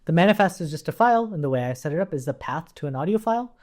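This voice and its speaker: Casual male coding instructor, lively pitch. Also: very fast. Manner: informal, thinks aloud while explaining. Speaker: programming tutorial instructor. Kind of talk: casual explanatory coding walkthrough.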